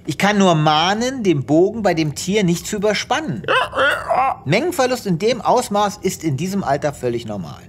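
An actor playing a self-important space veterinarian with a pompous manner in a comedic audio drama. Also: male voice, sits high, very animated, normal speed, a little hiss or hum. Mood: defensive. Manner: pompous, reassuring bedside chatter.

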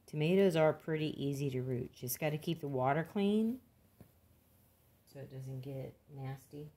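Older woman, low voice, folksy and plain-spoken, for casual home gardening how-to narration. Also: normal speed, warm tone, husky. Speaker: gardening demonstrator.

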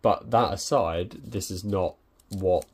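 A man's voice: deep voice, takes it slowly, husky. A young man talking casually through an unboxing at his desk, hesitant with many ums and restarts. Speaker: tech reviewer. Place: indoors at a desk.

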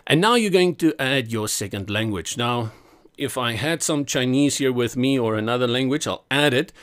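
A man with a South African accent, whose first language is Afrikaans, narrates a screen recording step by step. He sits at his computer mic and keeps up a chatty running commentary, often catching and correcting himself. His voice sits at an ordinary middle pitch for a man. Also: lively intonation, moderate pace, a bit bright, clear, resonant tone. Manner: chatty, self-correcting, talks through each click. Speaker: web design tutor. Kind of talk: screen-recorded software tutorial.

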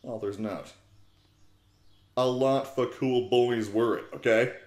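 A man's voice: mid-range voice, steady tone, takes it slowly, a little mellow. Young man narrating in a roomy space. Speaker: narrator, YouTube host. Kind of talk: theatrical comic fan fiction reading. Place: small living room, indoors. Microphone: desktop USB microphone close by.

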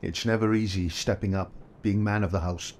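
A man plays a kindly photographer with a low, soft voice that drops almost to a hush. He talks slowly and thoughtfully. Faint background ambience sits under the voice. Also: steady tone, slight background noise, natural voice. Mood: gentle. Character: kindly adult male photographer, gentle and reflective. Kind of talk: audio drama acting.

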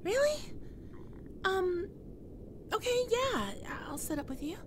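A woman's voice: extremely high pitch, big pitch swings, slow, noisy background, exaggerated voice. A woman playing a skittish, defensive young catgirl roommate in an ASMR roleplay, stammering and prickly to hide her fear. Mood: flustered, defensive.

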